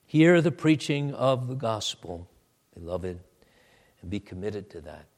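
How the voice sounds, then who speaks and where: A man preaching a sermon and leading a prayer, moving from quiet low asides to loud, high exhortation. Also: big pitch swings. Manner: deliberate, drawn-out preaching delivery. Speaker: pastor.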